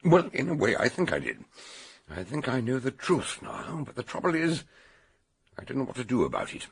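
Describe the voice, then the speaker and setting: An actor playing an older, learned detective in a radio drama, gravelly and husky, musing aloud and emphatic. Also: male voice, mid-range voice, huge pitch swings, normal speed, full character voice. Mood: musing, troubled. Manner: hesitant starts, repeated exclamations, ruminative.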